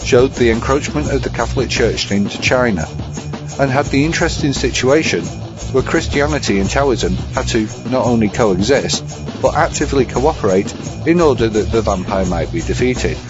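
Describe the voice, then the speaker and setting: A man presenting a scripted essay segment in a measured, formal, bookish way. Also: medium pitch, animated. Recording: muffled audio with music behind.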